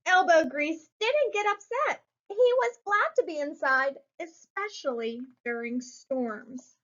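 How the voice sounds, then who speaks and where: High-voiced woman reading a picture book. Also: takes it slowly. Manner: expressive, dramatic emphasis. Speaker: story reader. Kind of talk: children's picture book read-aloud. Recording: muffled lo-fi recording.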